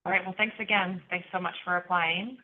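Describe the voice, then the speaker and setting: A woman's voice: on the low side, fairly even intonation, brisk. A woman chairing a city council work session, leading applicant interviews in a friendly, polite manner. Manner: friendly and polite, with many thank-yous. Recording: tinny line with faint hiss.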